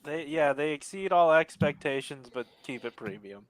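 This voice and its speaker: A man's voice: a little high, expressive, moderate pace, no echo, poor audio. A man co-hosting a casual podcast, arguing his point stubbornly with swearing and laughs. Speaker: podcast co-host. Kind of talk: casual chat.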